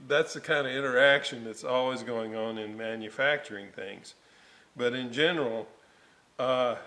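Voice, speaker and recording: An older man giving a casual hands-on workshop explanation, thinking aloud in a halting way as he hosts a restoration video. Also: medium pitch, a bit bright. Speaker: vintage radio restorer and video host. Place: indoor home workshop.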